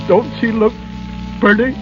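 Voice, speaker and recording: An actor playing an earnest, elderly dwarf leader with a halting, stammering delivery, in 1930s radio style. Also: male voice, high voice, fairly even intonation, over music. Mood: sorrowful. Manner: halting, stammering, repeats words. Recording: muffled vintage 1930s radio recording.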